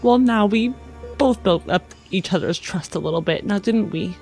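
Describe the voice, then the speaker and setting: A woman playing a persuasive adventurer in a tabletop game, speaking in a measured, sincere way. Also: mid-range voice, darkish tone, faint music behind, close to an everyday voice. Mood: earnest, reassuring. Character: persuasive, careful woman adventurer making earnest promises.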